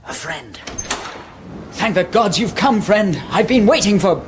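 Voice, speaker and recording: A man's voice: high voice, sing-song, a little slow, background noise. A man playing a naive, earnest young hero in a mock-epic radio comedy, speaking formally and sounding puzzled. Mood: earnest, hesitant.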